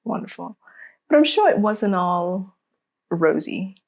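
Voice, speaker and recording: A woman hosts a podcast, asking questions in an encouraging way. She backs up her guest with short replies such as "wonderful" and "absolutely". Her pitch sits in the middle for a woman. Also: sing-song, slow pace. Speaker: podcast host. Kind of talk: interviewing.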